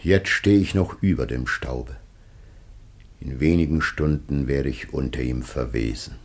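A man's voice: rumbling low, measured pace, slightly rough, exaggerated voice. An actor playing an ancient dying father, solemn, anguished and tender, in a declamatory classic German radio play. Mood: grave dread.

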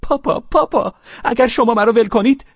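A man voicing a frightened, desperate young girl, high and pleading, near tears. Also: expressive, warm tone, slightly rough, no echo, lo-fi sound.

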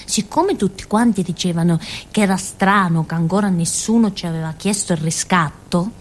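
An actress playing a naive, excitable village girl with a Calabrian accent, telling her story in a childlike, colloquial theatrical monologue for radio. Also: lowish voice, moderate pace, a little hiss or hum, natural voice. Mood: eager, confiding. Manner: childlike, colloquial storytelling with frequent giggles and asides.

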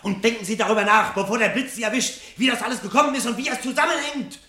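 A man's voice: extremely high pitch, quick pace, bright, forceful tone. An actor playing an agitated, bitter, rebellious fireman and husband in a 1970s radio play, speaking in an intense, declamatory way. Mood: furious. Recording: roomy studio radio play sound.

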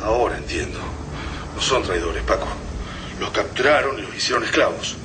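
An Argentine actor playing a tense, commanding army lieutenant in a radio drama, terse and urgent. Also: male voice, on the low side, expressive, moderate pace, background noise, close to an everyday voice. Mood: grave, analytical. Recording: loud music and battle effects behind.

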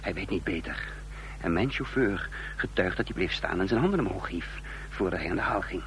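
An actor playing a tense, commanding conspirator and first-person narrator in a radio drama, emphatic and dramatic. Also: male voice, deep voice, animated, a little fast, fairly bright tone. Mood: exasperated. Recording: noisy, humming old radio recording.

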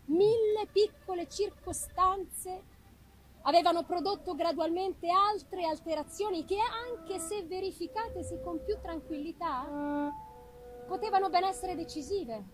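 A woman giving a dramatic, deliberate and expressive literary reading of a gothic novel. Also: very high-pitched, soft background music, poor audio. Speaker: reader of a literary audiobook.

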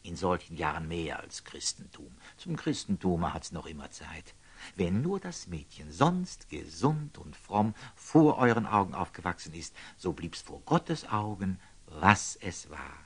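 Husky, deep old lay brother. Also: male voice, lively intonation, full character voice. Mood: warmly earnest. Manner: halting, gentle, artless. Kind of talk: classical stage verse in a German radio play.

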